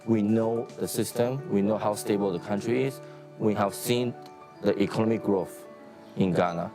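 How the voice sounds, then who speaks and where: A man in his forties from Taiwan, a hotel and restaurant owner, speaking in a measured interview outdoors on a lapel mic. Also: lowish voice, fairly even intonation, over music. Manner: plain, measured business talk with pauses.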